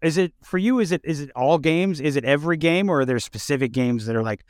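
A man's voice: a little high, fast, smooth, no echo. Man, interview host, casual and affirming, conversational phrasing, dry recording. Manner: affirming, informal, feels out questions as he speaks.

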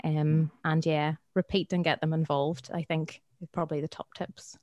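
A woman's voice: sits low, level tone, normal speed, a little mellow, no echo, lo-fi sound. A woman with a Northern Irish accent, a schools worker guest, giving practical tips in a friendly podcast chat with many ums.

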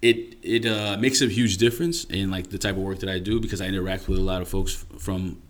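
A man's voice: sits low, fairly even intonation, quick pace, a little hiss or hum. A man explaining things in a casual, earnest, informal way, often checking in with the listener. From Haitian and Dominican background. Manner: earnest, informal, checks in with "right?" and "you know". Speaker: interview guest.

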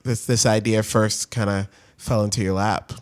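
A man's voice: fairly low pitch, little pitch movement, normal speed. A man interviewing a guest, asking questions and giving brief encouraging responses. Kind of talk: interview conversation.

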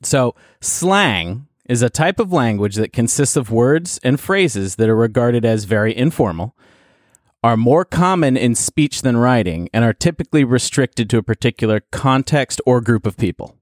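A man's voice: mid-range voice, animated, dry recording, clean studio sound. A man hosting a casual podcast, teasing his friends and stretching words out for emphasis. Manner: playful, teasing, drawn-out emphasis. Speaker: podcast host. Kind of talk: casual banter.

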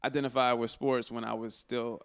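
A man's voice: mid-range voice, flat delivery, a little fast, clear, resonant tone. An American man in casual podcast conversation, talking in slangy, sweary bursts and repeating himself for emphasis. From born in Brooklyn, from Atlanta, US. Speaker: podcast guest, rapper and lawyer.

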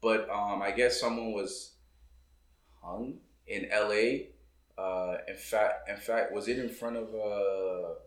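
A man from Boston, guesting on a casual podcast. His voice sits a little low, and he thinks aloud with plenty of "you know" and "like". The room around him is roomy and echoey. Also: fairly even intonation, slow. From Boston, United States. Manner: loose and reflective, many "you know" and "like" fillers. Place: coworking room.

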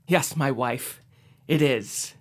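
A man's voice: medium pitch, takes it slowly, natural voice. A young man acting as a devout, brave bridegroom in an audio drama, earnest in his delivery. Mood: gentle.

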